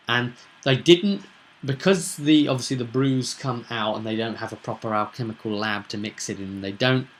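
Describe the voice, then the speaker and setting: Male game master, mid-pitched voice, casual storytelling recap, halting delivery with weighty pauses. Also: fairly bright tone.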